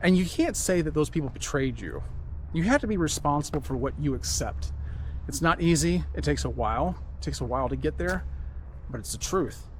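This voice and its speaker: A man's voice: on the high side, expressive, fairly quick, slight background noise. A man talking earnestly as he walks outdoors, rambling and repeating himself in a reflective monologue. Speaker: vlogger. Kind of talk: reflective walk-and-talk monologue. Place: outdoors, walking.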